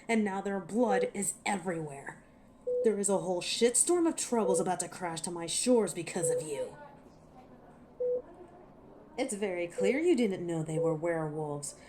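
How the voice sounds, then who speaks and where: A woman's voice: mid-range voice, noisy background, close to an everyday voice. A woman voicing a cocky, ancient werewolf in an audio roleplay, teasing and menacing with a sardonic, impatient manner. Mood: stern warning. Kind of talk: scripted audio roleplay monologue to a listener.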